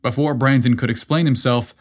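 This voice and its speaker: A man's voice: mid-range voice, steady tone, brisk. A man narrating a scripted story as the host of a podcast.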